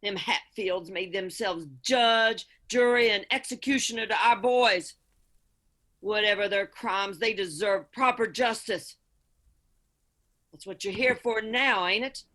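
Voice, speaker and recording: Actress as bitter middle-aged Appalachian mother in mourning, radio drama; hard-edged, slow, reproachful delivery. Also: mid-range voice, pushed and bright. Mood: indignant. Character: bitter grieving backwoods mother, fierce and reproachful.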